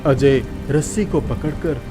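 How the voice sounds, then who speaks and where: A man's voice: medium pitch, a little slow. Male storyteller over background music. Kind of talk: scripted children's story narration.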